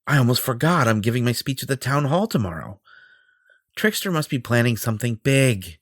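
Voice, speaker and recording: A man's voice: medium pitch, animated, a little fast, no echo, studio quality, natural voice. A man playing a vain, possessive superhero in an audio roleplay, theatrical and self-important, with a flirtatious streak. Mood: scheming, smug.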